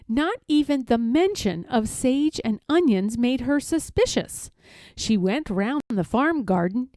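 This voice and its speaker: Expressive woman reading a children's story. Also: high-pitched, dry recording.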